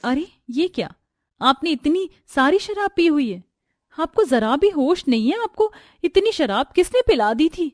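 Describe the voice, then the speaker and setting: Determined young wife in a family-drama story, high female voice, close dry recording, acted by a female narrator. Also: sing-song, unhurried, dry recording. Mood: alarmed, scolding. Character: upset, determined young Indian daughter-in-law.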